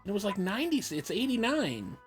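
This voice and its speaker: A woman's voice: medium pitch, sing-song, fairly quick, soft background music. A woman casually chatting while she plays through a video game. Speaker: gamer dubbing a live playthrough. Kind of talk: casual gameplay chat.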